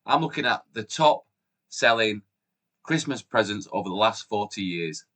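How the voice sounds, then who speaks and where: A man in his forties with a Yorkshire accent, hosting a casual countdown vlog in an upbeat, chatty way while sounding chesty from a cold. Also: normal speed. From Yorkshire, England. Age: 40s. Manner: chatty and upbeat, lively jumps in pitch and loudness. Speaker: YouTube host. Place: room at home with shelves behind the camera.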